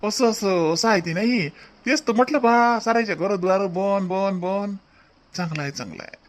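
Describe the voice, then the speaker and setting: An easygoing older village father-in-law from a cartoon comedy , acted by a man, pitched high for a man. He rambles along and repeats himself in a folksy Vidarbha Marathi accent. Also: moderate pace, slight background noise, natural voice. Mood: musing. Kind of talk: rustic cartoon comedy dubbing.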